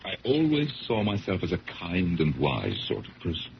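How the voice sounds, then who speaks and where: A courteous, troubled elderly gentleman in a 1970s radio drama. His voice is deep and swings widely in pitch, with hesitant, formal phrasing. The old recording sounds dull. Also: huge pitch swings, moderate pace, gravelly, background noise, full character voice. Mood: remorseful, gentle. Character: elderly, troubled, well-mannered gentleman collector.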